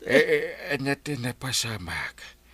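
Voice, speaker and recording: An excitable, blustering village captain in a radio comedy. His voice leaps high and drops low all the time, and he sits at a middle pitch for a man. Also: a little slow, slightly rough, heavily acted. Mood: distraught. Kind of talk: radio comedy drama acting.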